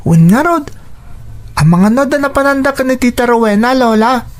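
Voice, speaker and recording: Actor playing a young boy, sweet, trusting, very animated pitch, radio drama. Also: normal speed, close to an everyday voice. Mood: earnest, pleading. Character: sweet, trusting young boy defending his father's girlfriend. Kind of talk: Ilocano radio drama acting.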